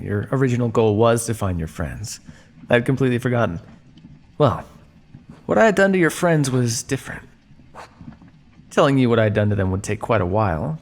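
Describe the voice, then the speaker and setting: Male actor as vampire scientist captor for audio roleplay: creaky, swooping delivery, smug and theatrical, some hiss on the recording. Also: fairly low pitch, exaggerated voice. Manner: smug, measured, teasing, theatrical. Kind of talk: ASMR roleplay monologue addressed to listener.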